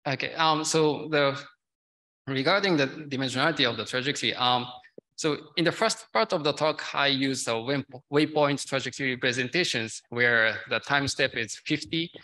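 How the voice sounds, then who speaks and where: A man's voice: mid-range voice, moderate pace, bright, forceful tone, poor audio. A man in his thirties answering technical questions as a conference speaker, hesitant, with non-native English phrasing. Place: large lecture theatre, at a podium. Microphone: podium gooseneck microphone.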